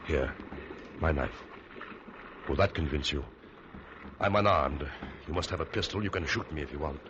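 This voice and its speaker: Casting: a very low-voiced, composed prisoner, earnest and persuasive, old radio thriller with heavy background noise. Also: male voice, normal speed. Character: calm, determined male prisoner, a gentlemanly accused murderer. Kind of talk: old-time radio drama acting. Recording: noisy, muffled vintage radio recording.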